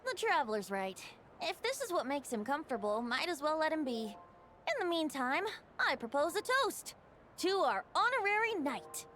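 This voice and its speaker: A woman's voice: high voice, very animated, normal speed, fairly bright tone, slight background noise. A woman voicing a carefree young bard deity in a video game, with a playful, wry, theatrical delivery. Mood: warm, proposing. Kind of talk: scripted video game voice acting.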